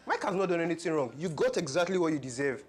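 A man's voice: a little high, very animated, fast talker, faint music behind. A man with a Nigerian accent playing a stern, reproachful man in a film drama, firm and admonishing. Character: stern, reproachful man scolding a troublesome woman.

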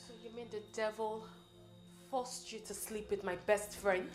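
A woman's voice: medium pitch, steady tone, moderate pace, echoey room, faint music behind, natural voice. A woman with a Nigerian accent playing a betrayed, vengeful wife in a melodramatic film. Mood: bitter, menacing.

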